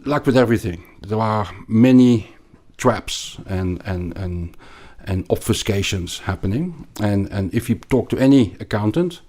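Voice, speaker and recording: A man with a Belgian accent explaining things as an interview guest, haltingly and emphatically, often repeating words. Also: fairly low pitch, warm tone. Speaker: podcast guest, investment analyst.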